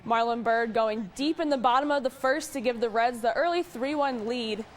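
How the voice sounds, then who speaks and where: Female TV sports reporter, scripted news narration, voice on the high side. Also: normal speed.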